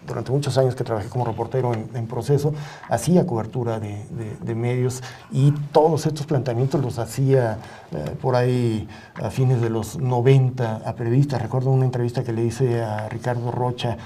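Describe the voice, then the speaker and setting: A middle-aged man with a Mexican accent, speaking as an expert guest in a studio discussion in a deliberate, halting, measured way. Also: mid-range voice, fairly even intonation, dark tone, a little hiss or hum. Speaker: media ombudsman and journalist, panel guest. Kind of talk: reflective studio interview discussion.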